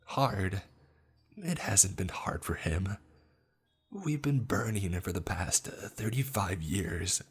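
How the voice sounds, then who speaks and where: A man's voice: sits low, animated, takes it slowly, breathy edge, pitched above the performer's own voice, full character voice. A man voicing a sassy, bitter ghost of a teenage girl who speaks in an accusing way, in a horror story.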